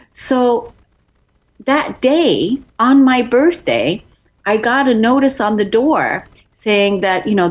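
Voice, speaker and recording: A woman's voice: mid-range voice, poor audio. A woman in her fifties telling a personal story in an effusive way, often repeating words for emphasis. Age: 50s.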